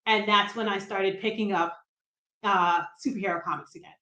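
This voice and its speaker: A middle-aged woman from New Jersey with a light Brooklyn accent, a comic writer chatting as a guest, self-deprecating and quick to laugh. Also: medium pitch, fairly even intonation, pushed and bright. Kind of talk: casual interview chat. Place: room at home. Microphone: video call. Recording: roomy echo on a video call.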